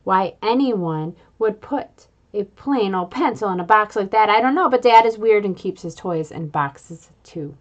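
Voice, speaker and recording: A woman reading a children's book aloud in an expressive, playful way, adding little sound effects. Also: medium pitch, unhurried, few pauses. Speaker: reader.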